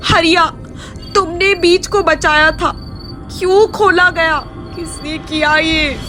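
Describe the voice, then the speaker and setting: Woman as anguished village woman, high-pitched, pleading, accusing, slow, cartoon story, light music. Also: fairly even intonation, sharp tone, full character voice. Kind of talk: animated Hindi moral story voice-over. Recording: music behind the voice.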